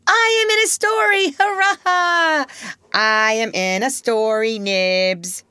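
Woman doing squeaky, excitable little storybook boy. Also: slow, no echo, studio quality, full character voice. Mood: gleeful. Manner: breathless, eager, exclaiming. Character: excitable, wide-eyed little boys hanging on a story.

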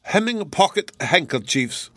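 A man voicing an elderly, courteous German gentleman in a storybook, with a German accent and a particular, exclamatory way of explaining. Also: high-pitched, sing-song, measured pace, no room sound, pitched above the performer's own voice, heavily acted. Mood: musing. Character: venerable old German gentleman, musing and instructive.

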